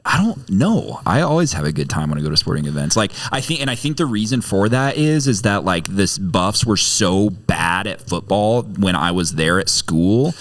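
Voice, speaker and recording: A man's voice: sits low, animated, moderate pace, few pauses. A man chatting in a laid-back, informal way on a podcast panel. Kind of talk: casual chat.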